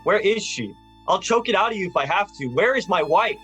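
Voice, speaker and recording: A man's voice: sits high, expressive, fairly quick, sharp tone, faint music behind. A man acting a desperate, stubborn family man in an amateur radio play.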